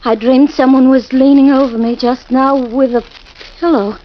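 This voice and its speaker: An actress playing a nervous young wife shaken by a nightmare, breathless and pleading, in 1940s radio acting style. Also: female voice, high-pitched, steady tone, moderate pace, close to an everyday voice. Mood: anxious. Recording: muffled old radio recording with hiss.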